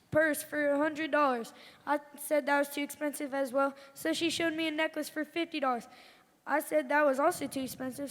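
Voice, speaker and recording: A woman's voice: high-pitched, a bit bright. A woman playing a jokey husband in a corny old-time radio comedy double act on stage. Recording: noisy, long echoing hall reverb.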